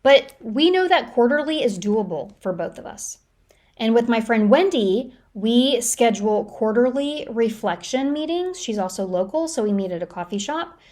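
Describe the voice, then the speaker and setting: A woman's voice: a little high, animated, normal speed, darkish tone. An American woman speaking solo as a podcast host, giving lightly scripted advice in a conversational, practical, explanatory way. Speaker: professional speaker.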